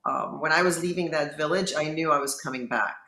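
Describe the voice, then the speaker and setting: A woman's voice: low voice, brisk, pushed and bright. A woman in her fifties from Lebanon, a judge, telling her personal story in an earnest, reflective way in an interview. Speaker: judge and guest. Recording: roomy, echoey sound.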